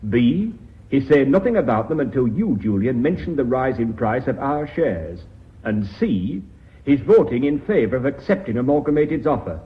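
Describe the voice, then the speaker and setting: An actor with a British accent playing a blunt, hot-tempered, sarcastic company director in a radio drama. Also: male voice, medium pitch, very animated, moderate pace, natural voice. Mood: accusing. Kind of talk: BBC radio drama acting. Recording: dull lo-fi radio recording.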